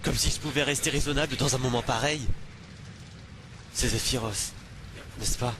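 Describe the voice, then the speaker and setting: A man's voice: mid-range voice, moderate pace, close to an everyday voice. A man in an amateur French anime dub playing a cocky, hot-headed young soldier on a noisy, clicking recording. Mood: agitated.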